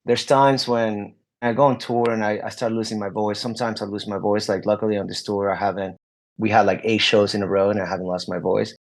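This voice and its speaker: Man, young adult, low voice, rambling, lots of "like", candid interview guest. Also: level tone, a little fast. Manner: rambling, many "like" fillers and restarts. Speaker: guest musician.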